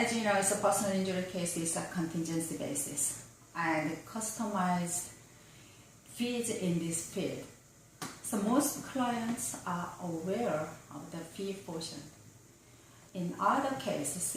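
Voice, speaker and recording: Woman attorney, Korean native speaker, lowish voice, measured formal delivery, roomy distant recording. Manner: slow, careful, somewhat formal answers. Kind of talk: answering interview questions. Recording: roomy, distant mic with faint noise.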